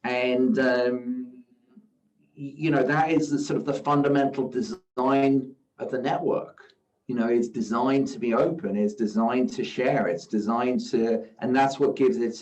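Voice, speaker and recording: Slow-talking man in a roomy distant recording. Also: medium pitch, fairly even intonation, darkish tone. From South Africa. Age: middle-aged. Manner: measured, often says "you know". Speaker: guest investor. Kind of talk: reflective interview answers. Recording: roomy, distant, dull sound.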